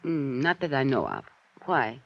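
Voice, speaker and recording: A woman's voice: very deep voice, wildly swooping pitch, measured pace, heavily acted. A woman acting in a 1950s radio drama, playing a pleading, evasive European femme fatale with an earnest, hesitant, dramatic manner. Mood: uneasy, thoughtful. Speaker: actress in a detective radio serial. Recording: muffled, dull 1950s radio recording.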